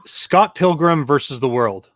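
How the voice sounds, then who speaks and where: A man's voice: fairly high pitch, expressive, a little slow, a bit bright, no room sound, clean studio sound. A man from Canada co-hosting a show in casual, conversational chat. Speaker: regular co-host.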